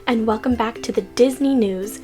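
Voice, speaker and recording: A young woman from California hosting a casual news vlog from her bedroom, keeping her voice lowered and stumbling a little as she reads articles aloud. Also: moderate pace. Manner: hushed and lowered to stay quiet, stumbling over words while reading. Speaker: vlog host. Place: messy bedroom at home. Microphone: desk mic seen in corner of frame. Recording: background music playing under voice.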